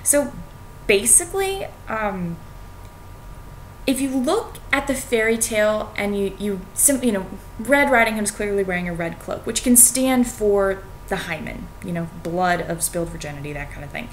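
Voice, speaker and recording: A young American woman speaks in a medium-pitched voice with a casual, wry manner. She sounds like a vlogger talking to her computer at home. A light layer of noise and rumble sits under the voice. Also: animated, moderate pace. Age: young adult. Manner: informal, wry, hedging with "like" and "you know". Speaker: vlogger reading and analysing a fairy tale. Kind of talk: casual spoken commentary to camera. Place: small home kitchen, indoors. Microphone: computer webcam at arm's length. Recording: noisy home webcam recording, low rumble.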